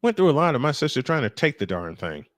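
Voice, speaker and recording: A man's voice: a little high, big pitch swings, fast talker, dry recording, studio quality. An American man doing baseball play-by-play mixed with casual chat, telling things in a folksy, repetitive way.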